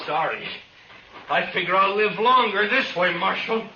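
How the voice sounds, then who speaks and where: An actor playing a wily condemned killer in a 1950s western radio drama. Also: male voice, sits high, animated, unhurried. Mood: hard, resolved. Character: wily condemned male killer in the Old West. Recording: dull, hissy vintage radio recording.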